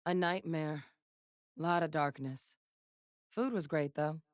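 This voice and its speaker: A woman's voice: low voice, slow pace, clear, resonant tone, dry recording. A woman playing a battle-hardened, wry and defiant young gunslinger in a video game, speaking in a dry, clipped, commanding way. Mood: wry teasing. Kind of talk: video game character voice lines.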